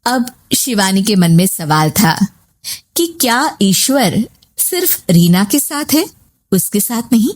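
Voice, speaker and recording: A woman's voice: medium pitch, very animated, slow. A woman reading scripted narration for a moral family story.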